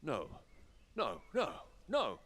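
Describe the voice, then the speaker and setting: A man playing a worried lord of a fantasy council in a video game, measured, formal and guarded. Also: a little high, wildly swooping pitch, fairly bright tone, exaggerated voice. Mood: alarmed.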